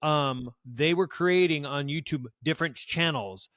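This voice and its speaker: A man's voice: fairly high pitch, measured pace, fairly bright tone, no echo, clean studio sound. A man hosting a casual podcast interview, asking questions eagerly and agreeing often with his guest. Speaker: podcast host.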